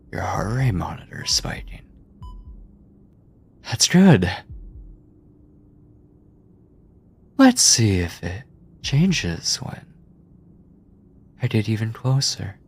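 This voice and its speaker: A man playing an obsessive mad scientist captor in a horror ASMR roleplay, coldly doting and clinical, with condescending endearments, theatrical pauses and a breathy, husky voice. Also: fairly low pitch, big pitch swings, exaggerated voice. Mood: excited, teasing. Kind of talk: horror ASMR roleplay, intimate monologue to listener.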